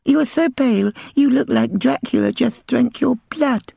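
A man's voice: extremely high pitch, normal speed, vocal fry, warm tone, husky, no echo, studio quality, pitched above the performer's own voice, heavily acted. A man voicing a blunt, tearful little girl with a lisp, in a storybook reading. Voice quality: lisping.

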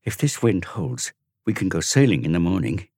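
A man's voice: low-pitched, big pitch swings, normal speed, vocal fry, a little mellow, no echo, studio quality, exaggerated voice. A man voicing a lordly, commanding teenage boy who bosses his younger brothers. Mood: relaxed.

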